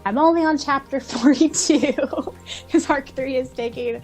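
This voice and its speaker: A woman with a fairly high voice co-hosts a podcast. She talks casually in an effusive, chirpy way. Faint music sits behind her. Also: normal speed, a little mellow. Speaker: podcast co-host. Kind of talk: casual chat.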